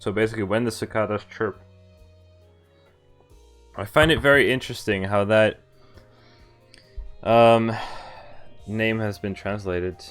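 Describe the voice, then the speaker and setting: A man's voice: on the low side, steady tone, a little slow, pauses often, fairly bright tone. A man streaming a game, reading a visual novel aloud and adding playful, chatty commentary that wanders into asides. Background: game music.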